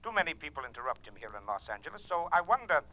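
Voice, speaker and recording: A man's voice: fairly high pitch, very animated, pushed and bright. An actor playing a charming, evasive businessman with a glib, hesitant patter in a 1940s radio drama. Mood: charming, persuasive. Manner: brisk, glib, with calculated hesitations.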